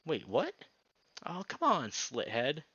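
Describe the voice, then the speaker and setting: A man's voice: on the high side, huge pitch swings, slow, a bit bright, slightly rough, dry recording, voice pushed up, exaggerated voice. A man voicing an irritable, defensive young male visual novel character in an exasperated, informal manner, on a dull mic.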